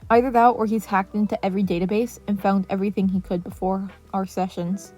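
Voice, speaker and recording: Adult male teacher, female reader, dry and deadpan, very mellow, over background music. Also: mid-range voice, fairly quick, close to an everyday voice. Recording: music playing under the voice.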